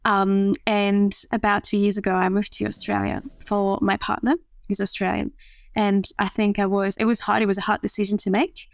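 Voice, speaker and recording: A woman in her thirties speaks as a podcast guest, her voice flat in pitch and touched by gravelly fry. She answers thoughtfully, pausing on fillers and restarting phrases. The sound is close and dry. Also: no echo. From France. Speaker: guest, matchmaker. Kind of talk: interview conversation.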